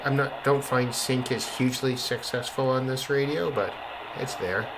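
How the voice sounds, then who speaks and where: A man's voice: mid-range voice, fairly even intonation, moderate pace. A man giving a casual, hesitant narrated demonstration as a shortwave radio hobbyist, musing and trailing off. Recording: clear radio static and hiss. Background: shortwave radio noise.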